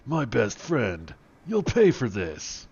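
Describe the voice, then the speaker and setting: A man voicing a tough, earnest action hero in a comic story, delivering deliberate, melodramatic one-liners. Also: mid-range voice, wildly swooping pitch, a little mellow, breathy edge, dull audio, exaggerated voice. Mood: indignant.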